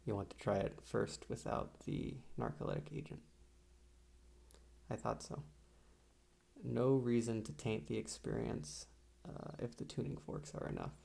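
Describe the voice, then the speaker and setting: Man playing sinister psychiatrist, ASMR roleplay, heavy vocal fry, husky and breathy, soft-spoken, clinical, hesitant, falsely soothing. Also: on the low side, big pitch swings, measured pace, exaggerated voice. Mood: calm, controlling.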